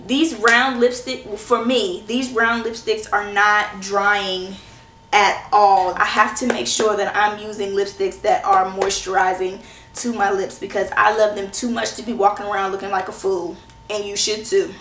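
Brief: hoarse young woman, casual beauty vlog, chatty and reassuring, roomy camera sound. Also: mid-range voice, little pitch movement, unhurried, a bit bright, a little hiss or hum. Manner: chatty and reassuring, self-teasing. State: hoarse from a cold. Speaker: beauty vlogger. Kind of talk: casual product review. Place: small indoor room at home. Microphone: camera in front at close range. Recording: echoey room.

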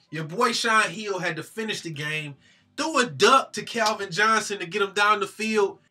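A man's voice: a little high, sharp tone. Fired-up young man ranting about football. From Detroit. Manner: heated, incredulous, slangy. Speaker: sports vlog host. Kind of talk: animated sports rant to webcam. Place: small room with a team banner behind him.

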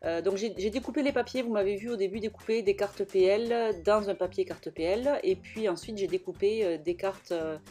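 Mellow-voiced woman, halting voice-over. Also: medium pitch, faint music behind. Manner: hesitant, many fillers and restarts. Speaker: scrapbooking vlogger. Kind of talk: casual voice-over narration.

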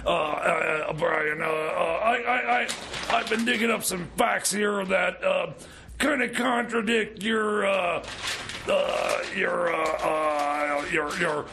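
A blustering comic radio-theater busybody, high and gravelly. The figure shouts and stutters accusations in slow, dragged-out bursts. The recording is noisy, with ambience behind the voice. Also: male voice. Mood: smugly accusing.

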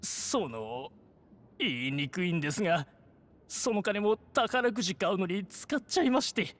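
A cowardly male thief whose pitch swoops widely. He is theatrical and slippery, able to puff himself up pompously or shrink into meekness, in game cutscene style. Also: expressive, unhurried, a bit bright. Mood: sheepish. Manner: theatrical, swinging from pompous to meek. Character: shifty cowardly male pickpocket, boastful then grovelling. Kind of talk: video game cutscene acting.

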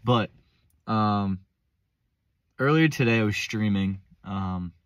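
Low-voiced hoarse young man rambling. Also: slow pace, dry recording. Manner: rambling, self-interrupting, casual slang. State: hoarse from a cold. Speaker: vlogger. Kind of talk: unscripted casual monologue. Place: inside a parked car. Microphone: phone front-facing selfie camera.

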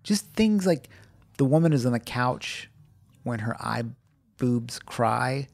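A man's voice: medium pitch, expressive, takes it slowly, gravelly, no room sound. A man co-hosting a podcast in casual chat, rambling with many "like"s and restarts. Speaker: podcast co-host.

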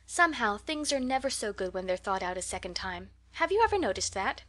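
A woman's voice: medium pitch, very animated, a little fast, a bit bright. A woman voicing an eager, imploring, talkative young orphan girl in an audiobook, earnest and effusive. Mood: apologetic, chatty. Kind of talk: audiobook character voice.

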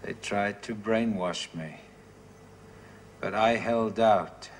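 An actor playing a dazed former prisoner who speaks in halting, flat, broken phrases, in a 1970s TV drama. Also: male voice, on the low side, slight background noise. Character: dazed man, a former prisoner reliving brainwashing.

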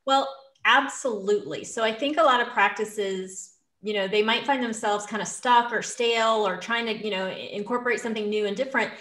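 A woman in her thirties co-hosting a podcast, explaining in an earnest, steady way over a video call. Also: a little high, a little fast. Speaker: podcast co-host, dental hygienist. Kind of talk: casual podcast chat. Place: room with wood-plank wall. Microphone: studio mic on video call. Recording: roomy echo.

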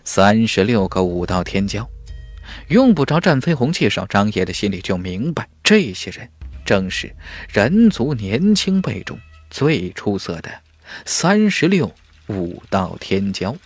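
A man's voice: on the low side, very animated, measured pace, dry recording, faint music behind. A man narrating a fantasy audiobook in a dramatic, scripted storytelling style.

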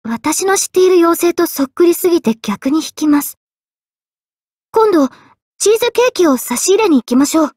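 Polite young anime game mage girl, high voice, earnest n a bit self-deprecating, expressive, close dry sound. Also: moderate pace, dry recording. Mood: taken aback. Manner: polite, expressive, shifting between excited and subdued. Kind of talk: anime game character voice lines.

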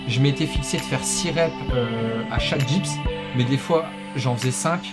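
A man's voice: medium pitch, normal speed. A young man hosting a fitness video, chatting casually with lots of fillers in a small home studio. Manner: energetic, rambling, many fillers. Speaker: fitness YouTuber host. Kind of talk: casual commentary over workout footage. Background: guitar music.

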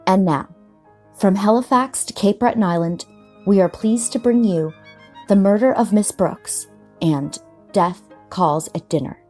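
A woman's voice: medium pitch, unhurried, a little mellow. A woman reading a scripted introduction as the announcer of a radio play. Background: music.

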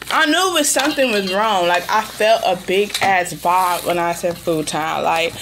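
A lowish-voiced woman in her thirties rambles through a casual vlog with a blunt, irritated manner. She is recorded at home on a handheld-distance mic, with loud sizzling noise running through it. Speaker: vlogger. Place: room in an apartment.

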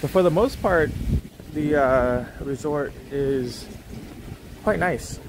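A man's voice: a little high, slow pace, noisy background. A young man walking through a beach resort, talking casually into a phone on a gimbal, rambling with many fillers and restarts. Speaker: travel vlogger. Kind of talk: casual walking tour commentary.

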